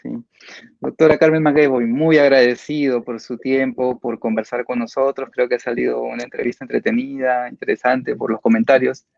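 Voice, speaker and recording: A man's voice: mid-range voice, level tone, normal speed, gravelly, darkish tone, no room sound, lo-fi sound. A man, hosting a literary interview in a polite, formal way. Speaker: interviewer, host.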